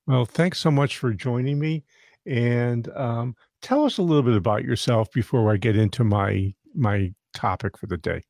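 A man's voice: medium pitch, normal speed, vocal fry, a little mellow, dry recording, clean studio sound. A man hosting a podcast interview, talking in a friendly, rambling way and often restarting his sentences. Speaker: podcast host.

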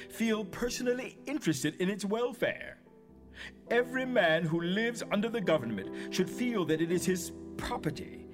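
An earnest 19th-century freedman making his case in a dramatic reading. The man's voice is high, his delivery formal and emphatic, with faint music behind. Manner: formal, emphatic oratory, building through lists. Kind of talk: theatrical dramatic reading of historical documents.

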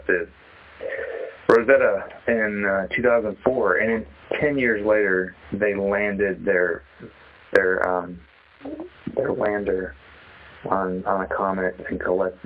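A man with a fairly low voice speaks casually over a muffled phone connection. He is an artist being interviewed, feeling his way through his thoughts with halting, reflective phrasing. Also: fairly even intonation. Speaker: interviewee, sculptor. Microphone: telephone. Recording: dull, band-limited phone call.

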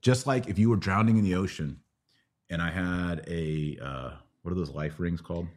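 A middle-aged American man with a notably low voice hosts a question-and-answer talk. He explains in a conversational, instructive way, often stopping to pose a rhetorical question and then continuing. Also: normal speed, a little mellow. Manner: didactic, checking with rhetorical questions. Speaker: podcast host answering religious questions. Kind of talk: conversational Q&A teaching.

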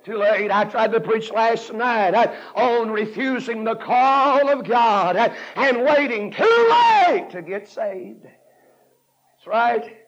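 A man's voice: very high voice, sing-song, slow pace, few pauses, highs cut off. A man preaching a fire-and-brimstone evangelistic sermon, fervent and urgent, repeating and punching his phrases.

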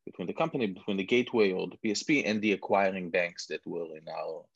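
Husky-voiced Israeli man, lo-fi call. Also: low voice, quick pace, dry recording. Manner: rambling, self-mocking, many restarts. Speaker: guest entrepreneur. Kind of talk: casual interview chat. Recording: dull muffled lo-fi sound.

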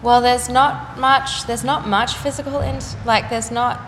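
A young woman, an artist chatting casually in an interview, full of fillers and quick laughs. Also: fairly high pitch, steady tone, fairly bright tone. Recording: echoey room with faint noise.

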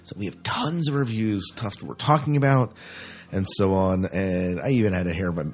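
A man's voice: sits low, a little fast. A man hosting a podcast in casual chat, rambling along in a jokey way. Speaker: podcast host.

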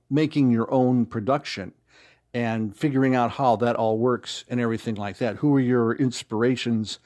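A man giving casual recorded interview answers as a guest, rambling and expressive, with a joking storyteller's way of talking. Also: on the low side. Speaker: interview guest, audio drama writer and actor.

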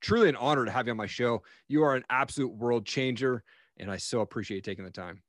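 A man's voice: mid-range voice, fast, dry recording. A man in his forties hosting a podcast interview, friendly and affirming toward his guest over a video call. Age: 40s. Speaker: podcast host. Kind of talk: casual interview chat.

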